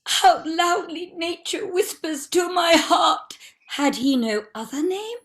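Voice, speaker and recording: An overwrought mother from a mock-heroic tragedy. Her voice is very high and drawn out, swooning with tender, declamatory melodrama. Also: slow pace, full character voice. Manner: declamatory, swooning theatrical delivery.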